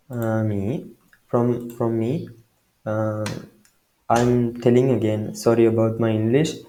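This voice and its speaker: A man from Sri Lanka with a Sri Lankan accent, giving a screen-recorded software tutorial in a halting way, with many fillers and restarts. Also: on the low side, roomy sound. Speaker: tutorial maker.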